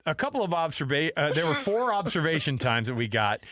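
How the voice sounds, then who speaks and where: A man's voice: fairly high pitch, sing-song, brisk, pushed and bright, dry recording, studio quality. A man hosting a sports radio show, arguing his points emphatically in casual, bantering debate. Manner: argumentative, emphatic.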